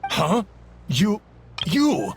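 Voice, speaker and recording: An actor playing a flustered, self-important male shipowner in a video game, halting and stiffly polite. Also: sits high, huge pitch swings, faint music behind, full character voice. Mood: flustered, blustering. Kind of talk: anime video game voice acting.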